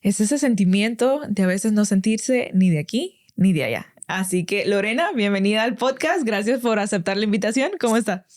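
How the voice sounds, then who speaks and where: A woman's voice: medium pitch, lively intonation, moderate pace, few pauses, darkish tone. Salvadoran woman in her 20s hosting a chill podcast chat, polite and friendly, lots of "este". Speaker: podcast host. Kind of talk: casual interview chat.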